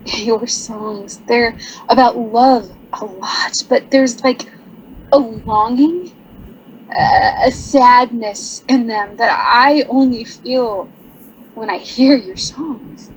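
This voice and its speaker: A woman's voice: fairly high pitch, takes it slowly, slight background noise, rough recording. An actress playing a shy young woman sign designer who talks nervously, giggles and apologizes. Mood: admiring. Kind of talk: stage radio drama acting.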